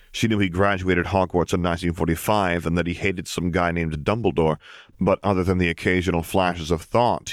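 A man's voice: deep voice, no echo, clean studio sound. A man reading scripted fiction as an audiobook narrator.

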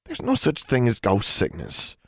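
A man's voice: medium pitch, wildly swooping pitch, normal speed, husky, dry recording, clean studio sound, voice pushed up, full character voice. A man voicing a haughty schoolgirl with a matter-of-fact, dismissive manner in a storybook reading. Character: haughty schoolgirl from an old haunted-mansion family.

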